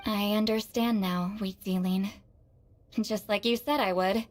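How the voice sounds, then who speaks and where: An actress voicing a proud, prickly sorceress who talks brusquely and teasingly in a video game. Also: female voice, medium pitch, steady tone, unhurried, lightly acted. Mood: resolved, somber.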